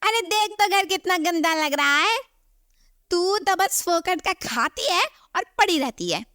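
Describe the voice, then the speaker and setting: A woman voicing a scolding, exasperated mother-in-law in an animated story, with a nagging, theatrical delivery. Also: very high voice, a little slow, dry recording, clean studio sound, heavily acted. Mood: angry. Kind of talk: Hindi animated moral story voice acting.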